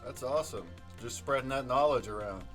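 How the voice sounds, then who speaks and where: A man's voice: mid-range voice, a little fast, a bit bright, soft background music. Easygoing male interviewer. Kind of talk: casual live-stream interview.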